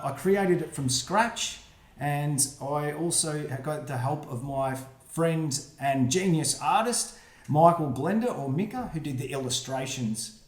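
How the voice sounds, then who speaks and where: A man, the book's author, reading his rhyming picture book aloud for children, with quiet asides about the pictures. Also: medium pitch. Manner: friendly, reads rhyming verse with quiet asides. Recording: roomy echo.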